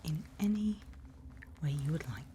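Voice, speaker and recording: A woman playing a calm forest night-watch guide in an ASMR roleplay, whispering soothing, unhurried instructions close to the microphone. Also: low-pitched, slight background noise. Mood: calm, hushed.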